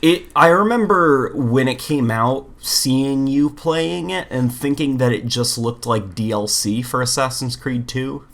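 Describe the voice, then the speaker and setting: A man's voice: mid-range voice, measured pace, hardly any pauses, fairly bright tone. A man co-hosting a podcast in casual gaming chat, speaking informally and giving emphatic opinions. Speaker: podcast co-host.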